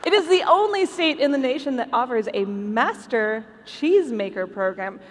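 High-voiced woman hosting in an echoey hall. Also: expressive, moderate pace. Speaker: podcast host on stage. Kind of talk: live stage show presenting to an audience. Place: large indoor convention hall. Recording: echoey convention hall, clear background noise.

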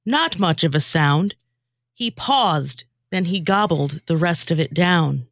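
A woman's voice: on the low side, expressive, takes it slowly, dry recording, studio quality. A woman performing scripted comic radio theatre, narrating in a theatrical storytelling manner. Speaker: former co-host and narrator.

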